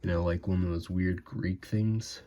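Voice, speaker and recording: A man's voice: deep voice, fairly even intonation, a little slow, dark tone. A man casually thinking aloud and rambling as he draws for his channel. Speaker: drawing channel host. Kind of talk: casual drawing commentary. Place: home room.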